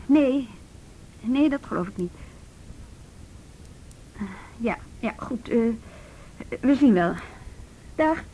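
A policewoman with a clearly high voice in a radio play. She is conscientious and plain-spoken, with a wry edge. The recording has audible noise and rumble. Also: lively intonation, slow pace, long pauses, dark tone, highs cut off, heavily acted. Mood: doubting, firm. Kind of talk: Dutch radio drama acting.

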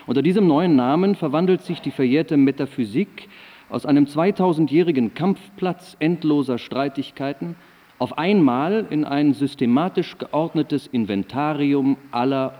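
An actor playing an eloquent, wry eighteenth-century German philosopher, reciting his letters in a measured, ornate way for a radio play. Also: mid-range voice, mellow, in a large hall, natural voice.

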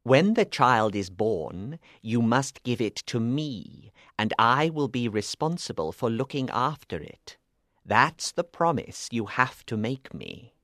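A man voicing a wise old male magician, speaking quietly and in a measured way, in a storybook reading. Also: medium pitch, big pitch swings, no echo, studio quality. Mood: serious.

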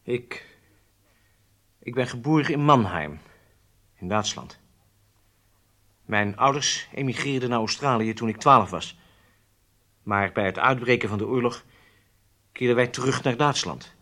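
Halting, cornered spy confessing. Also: male voice, mid-range voice, bright, forceful tone. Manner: hesitant, halting, submissive. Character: weak-willed, cornered German horse breeder confessing as spy. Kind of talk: radio drama acting.

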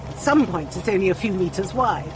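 A woman's voice: lowish voice, lively intonation, noisy background, close to an everyday voice. An actress playing a bossy, self-important middle-aged lady politician with an upper-class English accent in a radio drama. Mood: bossy, authoritative. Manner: pompous, brisk, commanding.

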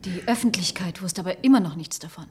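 An actress in a German radio play, playing a sceptical woman who asks quiet, probing questions. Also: fairly low pitch, fast, slight background noise, lightly acted.

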